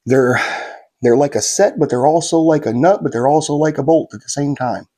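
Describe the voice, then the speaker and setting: A man's voice: mid-range voice, warm tone. A man narrating a how-to build in a laid-back, folksy way while he works, sniffly from a slight cold. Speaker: guitar kit builder.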